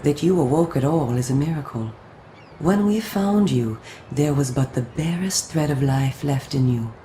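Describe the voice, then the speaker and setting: An actress voicing a solemn, coolly persuasive female vampire resistance agent in a video game, speaking in a formal, measured, theatrical way. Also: low voice, dark tone, reverberant, a little hiss or hum, lightly acted. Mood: calm, reassuring. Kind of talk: scripted video game cutscene acting.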